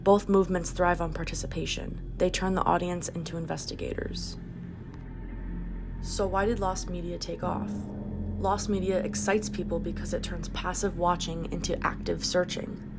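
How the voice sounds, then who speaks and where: Female narrator for a video essay: lowish, noticeably gravelly fry, scripted and measured, music underneath. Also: level tone.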